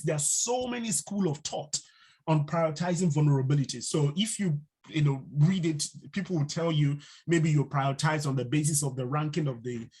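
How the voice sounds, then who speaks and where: A man teaching a live online cybersecurity class, unhurried and full of fillers, now and then putting questions to the class. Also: a little high, a little mellow, slightly rough. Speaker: instructor. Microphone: video call mic.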